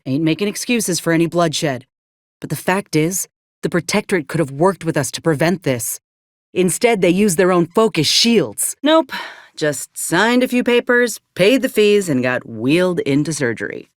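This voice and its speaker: Low-voiced, wry soldier woman, played by an actress. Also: dry recording, lightly acted. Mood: firm, defensive, then wry, resigned. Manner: folksy, dry, plainspoken. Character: tough, wry, weathered female soldier companion in space. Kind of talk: video game character dialogue.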